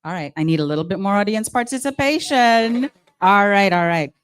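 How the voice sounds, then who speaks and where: A woman's voice: medium pitch, brisk, clear, resonant tone. A woman from Guyana with a Guyanese accent, hosting a live charity evening as mistress of ceremonies and coaxing the crowd. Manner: lively, coaxing the audience.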